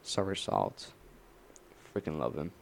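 A young man rambling on his own late at night, mumbling slowly and halting often with fillers. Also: extremely low pitch, big pitch swings, gravelly, a little hiss or hum.

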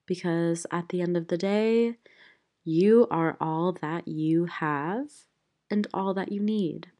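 A young woman hosting a casual solo podcast, hoarse and raspy from being sick, chatty and playful. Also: lowish voice, mellow. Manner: chatty, playful, rambling with fillers. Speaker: podcast host. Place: small room at home.

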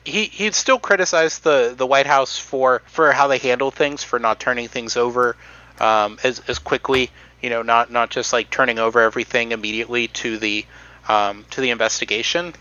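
A man's voice: medium pitch, steady tone, moderate pace, pushed and bright, lo-fi sound. A man hosting a casual podcast, reading out notes with many ums and restarts. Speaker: podcast host presenting research.

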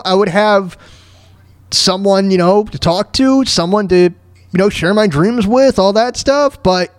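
High-pitched man on a halting, frustrated rant. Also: no room sound. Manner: halting, repetitive, emphatic; frustrated, dejected. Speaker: podcast host. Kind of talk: rambling personal rant.

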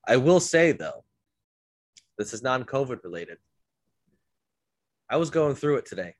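A man's voice: medium pitch, sing-song, a little slow, dry recording. A man hosting a podcast, a bit congested from illness, telling rambling self-deprecating stories in a casual chat. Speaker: podcast host.

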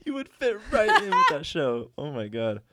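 A man's voice: on the high side, huge pitch swings, normal speed, pushed and bright. A man co-hosting a podcast, chatting casually and easygoing, often with short agreeing reactions. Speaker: podcast co-host. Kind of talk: casual banter chat.

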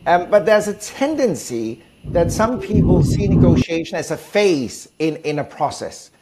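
A man's voice: a little high, sing-song, normal speed, very noisy. A man from Denmark with a Danish accent, speaking conversationally as an expert guest and explaining his views with emphatic repetition. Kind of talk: conversational interview answers.

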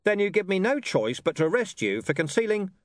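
A man voicing a gruff, stubborn police commander in an audiobook, sardonic and pressing. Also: high voice, sing-song, brisk, no room sound, studio quality, pitched above the performer's own voice. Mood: threatening. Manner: sardonic, pressing, growling at times. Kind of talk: audiobook character dialogue.

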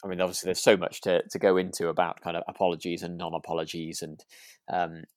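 A man co-hosting a podcast in casual discussion, speaking in a reflective, halting and hesitant way. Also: low-pitched, flat delivery, a little mellow, dry recording, studio quality. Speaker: podcast co-host.

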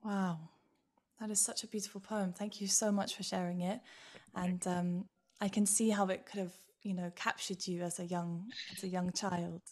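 A woman hosting an interview, asking questions in a soft, encouraging way. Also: fairly low pitch, level tone, normal speed. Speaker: podcast host. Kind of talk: interview conversation.

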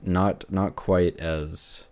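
A man hosting a podcast, chatting casually about video games in a halting way, often breaking off and starting over. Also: low-pitched, steady tone, a little mellow. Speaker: podcast host.

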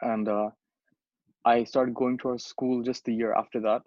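A man's voice: medium pitch, level tone, moderate pace, darkish tone, dry recording. A young man from Bangladesh tells a story in loose, casual podcast talk. He stumbles and restarts often, says "like" and "you know" a lot, and swears offhandedly. The recording is dry and slightly dull. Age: young adult. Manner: rambling, many restarts and fillers, swears casually. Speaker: podcast co-host. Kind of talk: casual chat.

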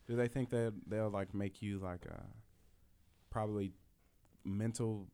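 A man's voice: lowish voice, steady tone, measured pace, dark tone, smooth. A man in his twenties hosting a casual podcast, asking questions in loose, informal phrasing. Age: 20s. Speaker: podcast host.

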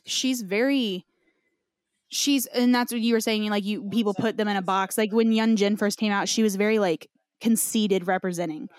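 A woman's voice: medium pitch, steady tone, moderate pace, clean tone. A woman hosting a podcast in casual conversation, speaking informally and emphatically with some slang. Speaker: podcast host.

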